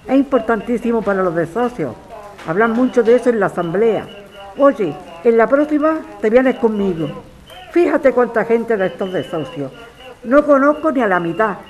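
A woman's voice: on the high side, animated, moderate pace, a little mellow, natural voice. A woman playing an encouraging, matter-of-fact activist neighbour with a Spanish accent in an audio drama. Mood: reassuring. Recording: clearly noisy ambience.

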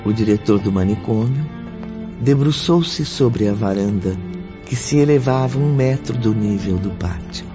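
A man's voice: fairly low pitch, a little slow, natural voice. A man performing as the narrator of a radio drama, reading a formal story. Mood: measured, descriptive. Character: formal, unhurried narrator of a spiritist tale. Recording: muffled audio under loud music.

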